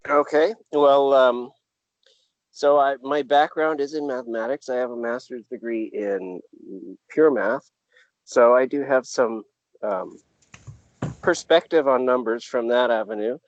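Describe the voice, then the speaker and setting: A man introducing himself on a video call in a measured, relaxed way. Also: mid-range voice, no room sound, muffled recording. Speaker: guest.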